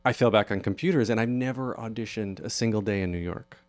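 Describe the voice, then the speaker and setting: A man's voice: fairly low pitch, quick pace, no echo. Friendly man hosting an interview. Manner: friendly, self-reflective, repeats words when starting. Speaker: podcast host. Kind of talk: casual interview chat.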